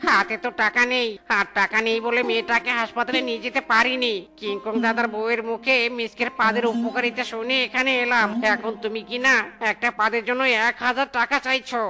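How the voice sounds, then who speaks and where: A woman's voice: a little high, flat delivery, a little fast, sharp tone, soft background music. A woman voicing a worried male monkey, a father in a comedy cartoon, speaking in an urgent, imploring way. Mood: worried pleading. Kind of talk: exaggerated Bengali comedy cartoon acting.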